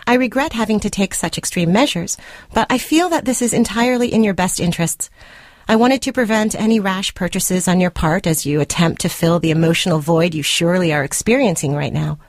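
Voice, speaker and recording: A woman's voice: on the low side, brisk, no echo, clean studio sound, close to an everyday voice. A woman playing a controlling, self-righteous spurned girlfriend, reading her formal letter in a prim, clinical and condescending manner, as a radio short story. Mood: coolly vindictive. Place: radio studio.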